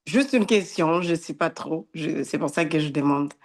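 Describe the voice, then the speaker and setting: A woman's voice: sits low, moderate pace. Hesitant woman. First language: Portuguese. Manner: hesitant, many filler sounds. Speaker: nominee.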